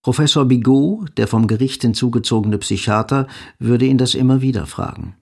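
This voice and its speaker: A man's voice: medium pitch, normal speed, vocal fry, darkish tone. A man narrating a German radio drama in a scripted, literary style.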